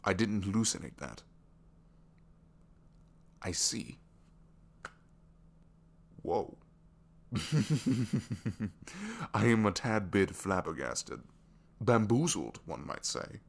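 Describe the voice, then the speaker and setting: Man playing a low, bashful, flirty supervillain. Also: big pitch swings, very slow, gravelly, pauses often, husky, full character voice. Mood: flustered, delighted. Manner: hesitant, stammering, sheepish. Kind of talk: intimate ASMR audio roleplay.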